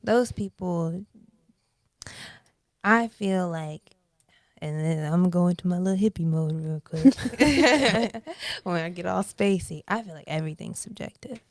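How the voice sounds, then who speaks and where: Gravelly-voiced young woman musing aloud. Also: lowish voice, measured pace, no echo, clean studio sound. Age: 20s. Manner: reflective, animated, many fillers. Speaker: podcast guest, artist. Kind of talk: casual interview chat.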